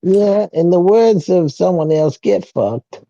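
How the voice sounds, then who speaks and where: A man's voice: sits high, lively intonation, slow, warm tone, no echo, heavily acted. A man voicing a blunt, crude party member in an amateur game fandub, on a dull lo-fi mic. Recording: dull lo-fi mic, music underneath.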